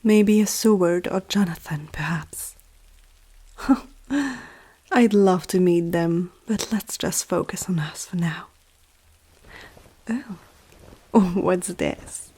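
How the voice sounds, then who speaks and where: A woman voicing a powerful, teasing female vampire noble in an ASMR roleplay, speaking softly and often close to a whisper, with an aristocratic, self-assured manner. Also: lowish voice, slow pace, long pauses. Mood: amused, exasperated. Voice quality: soft, breathy, often near whisper. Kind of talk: ASMR roleplay addressed to the listener.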